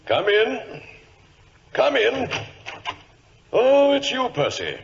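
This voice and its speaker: An actor playing a grave, commanding senior government minister in a 1940s radio drama, solemn and confidential, with a husky voice. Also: male voice, very high-pitched, huge pitch swings, drawn-out words, background noise, heavily acted. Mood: solemn, secretive. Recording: muffled vintage radio recording.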